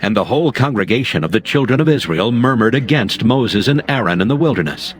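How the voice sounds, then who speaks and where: Expressive man narrating scripture. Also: mid-range voice, a little fast. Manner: solemn, formal storytelling.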